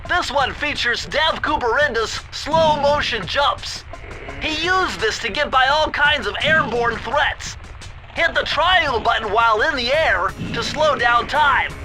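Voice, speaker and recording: A man's voice: extremely high pitch, expressive, a little slow, over music, low-quality recording. A man voicing a nerdy, anxious and eager turtle sidekick in a cartoon video game, with a wordy, fussy, pedantic manner. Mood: excited.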